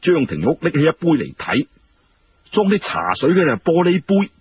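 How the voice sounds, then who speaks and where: A man telling a historical story in an animated, colloquial style. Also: on the high side, normal speed, highs cut off, a little hiss or hum. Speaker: storyteller narrating historical fiction.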